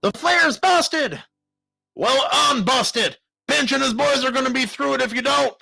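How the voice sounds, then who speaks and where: A man voicing a hot-headed outlaw in a western story, coarse, stern and forceful. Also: very high voice, rapid-fire, creaky, husky, no room sound, soft background music, pitched above the performer's own voice, heavily acted. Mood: frantic shouting. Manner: coarse cowboy talk, shouting or stern.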